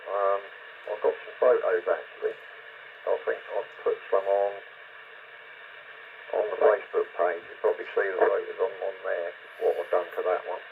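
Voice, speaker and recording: Man, low voice, matter-of-fact technical chat, noisy CB radio transmission. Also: creaky, phone-quality sound. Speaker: CB radio hobbyist giving antenna advice.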